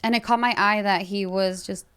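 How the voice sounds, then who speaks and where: A woman's voice: medium pitch, steady tone, normal speed, fairly bright tone, smooth. A woman, chatting casually as a podcast guest. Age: 30s. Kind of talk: casual chat.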